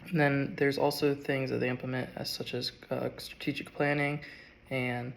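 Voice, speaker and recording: Sick young man with a gravelly voice. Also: mid-range voice, flat delivery, a little hiss or hum. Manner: halting, with frequent ums and uhs. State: sick, voice strained. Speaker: student. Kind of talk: class presentation speech.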